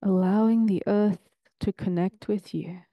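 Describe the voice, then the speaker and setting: A woman leading a guided meditation in a soothing, deliberate, ceremonial way, with long pauses between phrases. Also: mid-range voice, steady tone, dry recording, dull audio.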